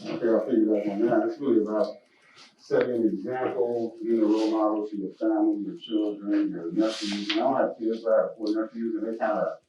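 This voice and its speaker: A man's voice: on the low side, fairly even intonation, brisk, slight background noise. Man in his fifties, very echoey room. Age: 50s. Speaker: college vice president for student affairs. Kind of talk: panel discussion. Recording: very echoey room, dull sound, mic at a distance.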